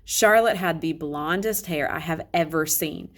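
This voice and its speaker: Female storybook reader, slightly low voice, conversational and expressive first-person narration. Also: unhurried. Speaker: read-aloud reader. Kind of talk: expressive children's novel narration.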